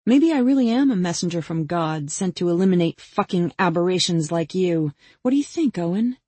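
A woman's voice: fairly low pitch, lively intonation, brisk, mellow, slightly rough, dry recording. A woman voicing a vengeful young female killer in an audiobook, taunting and mock-patient as she puts pointed questions. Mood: bitter, menacing. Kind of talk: dramatic audiobook character dialogue.

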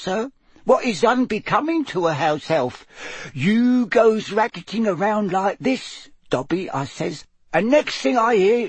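A man voicing a tiny, timid female house elf servant in an audiobook, squeaky and quivering, deferential and anxious, with broken grammar. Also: slightly rough, dry recording, full character voice. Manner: subservient, broken grammar, drawn-out phrasing.

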